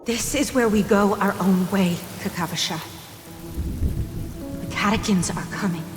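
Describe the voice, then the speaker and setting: A woman's voice: medium pitch, unhurried, natural voice. A woman voicing a protective, devout older sister in a video game, speaking in solemn, ceremonial phrases. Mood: grave, resolute. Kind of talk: dramatic video game acting. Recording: echoing reverb, loud music, rain behind.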